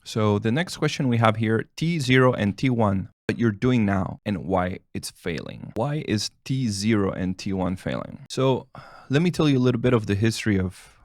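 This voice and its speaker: Doctor guy, spanish is his first language, kinda rambly and chuckly, low gravelly voice. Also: expressive, moderate pace, a little mellow, no echo, clean studio sound. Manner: loose and rambling, with frequent restarts and chuckles. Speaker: family physician. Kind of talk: casual talk to camera, answering questions.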